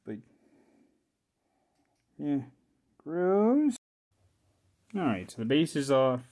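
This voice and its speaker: A man giving a casual hands-on show-and-tell as a hobbyist repairer, thinking aloud in short, halting, informal phrases. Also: lowish voice, darkish tone. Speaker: hobbyist repairer presenting his own video.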